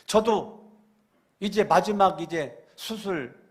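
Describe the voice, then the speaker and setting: A male pastor preaching a church sermon, repeating phrases and asking the congregation questions, moving between loud exclamations and quiet asides. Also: high-pitched, slow pace. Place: church sanctuary during a weekday service.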